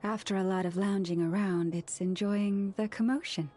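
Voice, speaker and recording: A woman voicing a gentle, devout young woman in a video game, speaking in a polite, formal and composed manner. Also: medium pitch, fairly even intonation, moderate pace, a little hiss or hum, natural voice. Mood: gently playful. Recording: music behind the voice.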